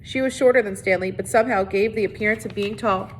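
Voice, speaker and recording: A woman's voice: fairly even intonation, fast talker. A woman reading a children's novel aloud as narrator. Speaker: reader. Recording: noisy recording with low rumble.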